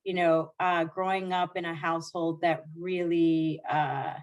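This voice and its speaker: Woman, 40s, lowish voice, earnest podcast guest on a remote call, lots of restarts. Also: flat delivery, a little slow. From Dominican Republic. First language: Spanish. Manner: warm, earnest, frequent restarts and soft laughs. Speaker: guest, diversity executive. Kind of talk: podcast interview.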